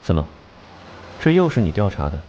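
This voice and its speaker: Coolly haughty, low-voiced detective. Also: male voice, big pitch swings, normal speed, a little mellow, highs cut off, slight background noise. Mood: cool, challenging. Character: cold, brilliant, haughty male detective consultant. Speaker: voice actor in an animated drama. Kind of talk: Chinese animation dubbing.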